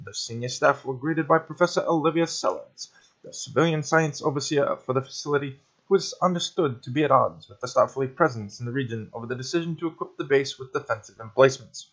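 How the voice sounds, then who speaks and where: A man's voice: medium pitch, a bit bright, dull audio. A man reading scripted news reports as a narrator. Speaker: news reader.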